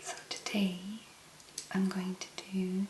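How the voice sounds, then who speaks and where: Slow, whispering woman, ASMR. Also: medium pitch, monotone. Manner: hushed, gentle, unhurried, with long pauses. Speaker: ASMR host. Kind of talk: soft-spoken whispered ASMR. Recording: roomy echo, clear background noise.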